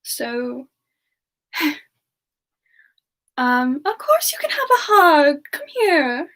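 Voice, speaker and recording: A woman voicing a gentle, affectionate character in a soft-spoken semi-ASMR chat with viewers. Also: high voice, very animated, drawn-out words, exaggerated voice. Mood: affectionate, relaxed. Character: Frostbyte, gentle affectionate character who loves hugs. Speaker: live stream host.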